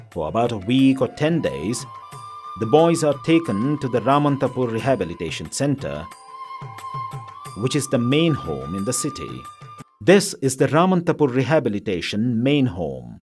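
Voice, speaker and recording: A man narrates a documentary in a measured, scripted read. His pitch moves a lot through each line, and music plays clearly beneath him. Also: sing-song. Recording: voice-over over background music.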